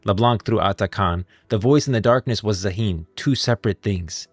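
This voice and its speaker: A man's voice: fairly low pitch, normal speed, no room sound. A man streaming casual live commentary on game lore, rambling and repeating phrases as he reasons aloud.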